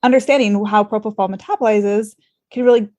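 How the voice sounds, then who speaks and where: A woman's voice: medium pitch, steady tone, brisk, dark tone. A woman podcast host discussing medical topics conversationally, thinking aloud in an earnest, questioning way.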